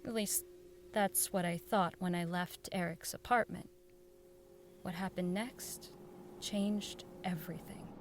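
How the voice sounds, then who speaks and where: A woman's voice: fairly low pitch, fairly even intonation, slow pace, a little hiss or hum. An actress playing an earnest, nervous woman who works in network radio, in radio drama dialogue and narration. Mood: reflective.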